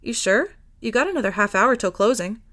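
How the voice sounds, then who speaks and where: A woman's voice: a little high, lively intonation, a little fast, a bit bright. A woman voicing a friendly, easygoing man in an audiobook, with a casual, teasing manner. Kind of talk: acted fiction reading.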